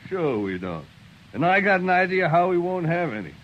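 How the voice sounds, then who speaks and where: A swaggering cowboy bully. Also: male voice, high-pitched, big pitch swings, moderate pace, slight background noise. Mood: mocking. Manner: swaggering, mocking. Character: rowdy, taunting Texan cowboy, grown man. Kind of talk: 1950s radio drama acting. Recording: muffled 1950s radio broadcast.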